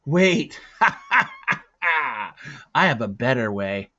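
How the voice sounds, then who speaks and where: Storybook villain played by a male reader: big prehistoric hunt boss, harsh and short-tempered, pitched up loud, lo-fi sound. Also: big pitch swings, extremely slow, exaggerated voice. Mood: sneering. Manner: harsh, shouting commands.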